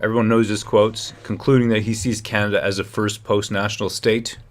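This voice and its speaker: A man's voice: lowish voice, flat delivery, brisk. A man hosting a political commentary livestream, speaking emphatically and straight to his viewers. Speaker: livestream host.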